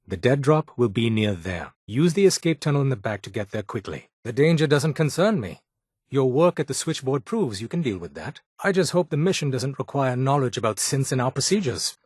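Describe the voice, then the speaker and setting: A man's voice: mid-range voice, big pitch swings, a little fast, darkish tone, slightly rough, no room sound. A man playing a brusque, haughty underground doctor in a video game, with a British accent and a dry, sardonic, formal manner. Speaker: medic in a secret resistance group. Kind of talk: video game character voice acting.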